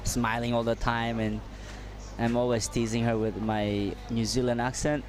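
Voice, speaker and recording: A man co-commentating a surf contest in halting, friendly English, drawing on his own surfing. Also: medium pitch, level tone. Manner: halting, friendly, laughs easily. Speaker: co-commentator and surfer. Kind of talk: live sports commentary. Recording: noisy outdoor ambience with traffic.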